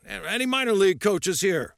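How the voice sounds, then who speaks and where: A man voicing a gruff, fiery old baseball coach who barks and bellows at a crowd. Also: high voice, expressive, moderate pace, fairly bright tone, no room sound, clean studio sound, voice pushed up, heavily acted. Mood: barking. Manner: barking, bellowing.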